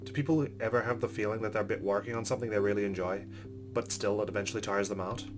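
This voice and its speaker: A man's voice: fairly low pitch, steady tone, fast, over music. A man hosting a let's play, reading game narration aloud and adding casual commentary.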